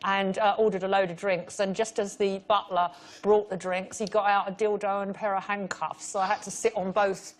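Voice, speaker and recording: A woman's voice: medium pitch, level tone, a little fast, a little hiss or hum. A woman from London on a comedy panel show, telling frank anecdotes bluntly.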